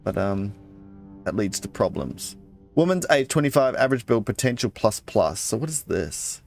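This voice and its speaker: A man's voice: fairly low pitch, very animated, moderate pace, vocal fry, faint music behind. A man playing a video game, casually commenting, reading in-game texts aloud and muttering asides to himself. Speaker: let's play gamer.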